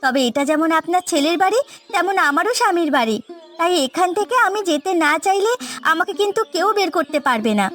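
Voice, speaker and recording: A woman's voice: extremely high pitch, expressive, a little fast, fairly bright tone. A woman voicing a wronged young widowed daughter-in-law in a cartoon family drama, earnest and firm. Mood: defiant. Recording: background music.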